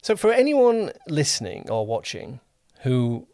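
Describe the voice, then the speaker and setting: A man's voice: mid-range voice, wildly swooping pitch, measured pace, mellow, dry recording, studio quality. A man hosting a podcast, interviewing a guest in a casual, conversational style.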